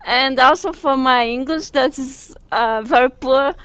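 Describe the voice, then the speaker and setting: A woman in her forties from Brazil with a Brazilian Portuguese accent, giving a university lecture slowly and carefully, with frequent hesitations. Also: high voice, fairly bright tone, clear, resonant tone, no echo. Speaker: guest lecturer. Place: lecture hall. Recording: dull lo-fi lecture recording.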